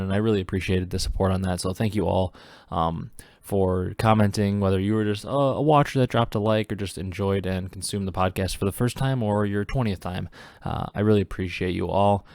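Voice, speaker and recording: Young man, stuffy nose from a cold, deep low voice, rambling with fillers, dry close sound. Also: a little mellow, dry recording. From Wisconsin. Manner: rambling, many "uh" fillers and restarts. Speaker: podcast host. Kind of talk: casual solo esports podcast commentary.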